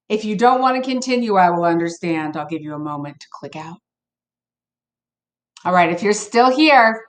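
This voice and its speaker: A woman reading a picture book aloud to children in an expressive, playful way, with casual asides to her listeners. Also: mid-range voice. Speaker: storybook reader for children.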